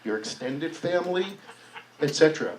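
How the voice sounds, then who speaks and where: A man's voice: on the high side, lively intonation, moderate pace, a little mellow. A man in the audience thinking aloud in a rambling, down-to-earth way. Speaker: audience member. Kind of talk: spoken comment in a public discussion. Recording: distant room mic.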